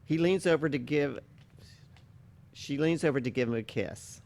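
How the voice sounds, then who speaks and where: A man's voice: fairly high pitch, normal speed, creaky, a little mellow. A man reading stage directions as scripted narration for a play reading.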